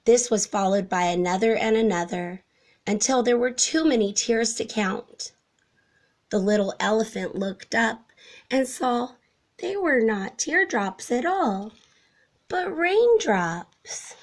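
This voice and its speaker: A woman reading a children's story aloud in an expressive narrating style. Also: on the high side, slow pace. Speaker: storybook reader.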